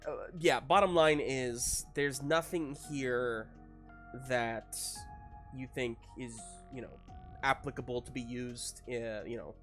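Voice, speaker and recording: Guy game mastering a tabletop rpg, mid voice, informal n halting, bit of music under. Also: mid-range voice, a bit bright. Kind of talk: narrating a tabletop roleplaying game, improvised.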